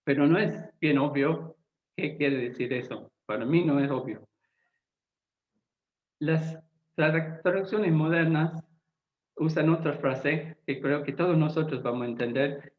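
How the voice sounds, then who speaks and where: A man who is not a native Spanish speaker, a Bible translator giving a lecture-style talk, deliberate and often pausing to search for words. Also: a little high. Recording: echoey, tinny phone-like sound.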